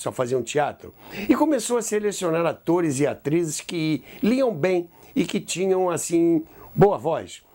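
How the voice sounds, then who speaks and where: A man's voice: fairly high pitch, a little mellow. A Brazilian man hosting a history podcast in a conversational storytelling way, with rhetorical questions and asides. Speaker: podcast host.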